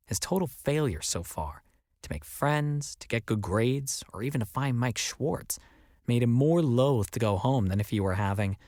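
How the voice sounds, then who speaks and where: A man reading as an audiobook narrator, in an expressive scripted storytelling style. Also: medium pitch, no echo, clean studio sound.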